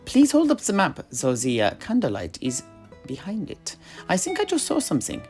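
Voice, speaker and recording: A performer acts out a burly, simple-hearted man who helps out in a fantasy tavern. His pitch swings widely as he eagerly repeats himself and stumbles over words. Instrumental music plays behind him in this audio drama. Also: huge pitch swings, moderate pace, darkish tone. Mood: excited. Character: big strong tavern helper, male, eager, excitable, simple-hearted.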